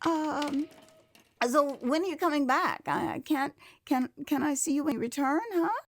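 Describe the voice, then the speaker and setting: A woman's voice: sits high, normal speed, a little hiss or hum, voice pushed up. A woman voicing a flustered young American volunteer, stammering and begging emphatically in an audio drama. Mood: flustered, anxious.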